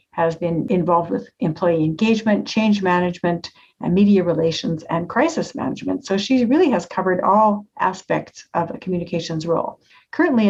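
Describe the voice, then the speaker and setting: A woman hosting a podcast, reading out a guest's introduction and then asking questions with warm enthusiasm. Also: fairly low pitch, slightly rough. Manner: warmly enthusiastic, praising. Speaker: podcast host. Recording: dull, slightly lo-fi sound.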